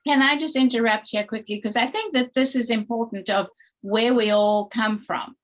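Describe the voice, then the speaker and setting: A woman's voice: fairly high pitch, steady tone, normal speed. A woman from Zimbabwe hosting a casual video call, passing the turn from person to person. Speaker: host.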